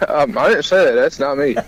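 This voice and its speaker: A 22-year-old man, chatting casually and haltingly as an interview guest, laughing often, a few beers in. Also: fairly high pitch, animated. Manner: laughs often, informal, hesitant phrasing. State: has been drinking beer. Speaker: guest singer-songwriter and soldier. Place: calling in to a radio show.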